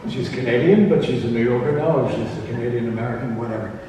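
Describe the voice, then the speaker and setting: A man speaking informally as a panelist, halting and digressive, recorded in a reverberant room. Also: mid-range voice, dark tone. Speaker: convention panelist, director and actor. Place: comic convention panel room. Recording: echoey hall, distant mic, noisy.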